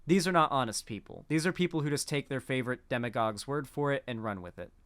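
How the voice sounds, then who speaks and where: A man's voice: mid-range voice, quick pace, a bit bright, smooth. A man giving casual political commentary, rambling with frequent restarts.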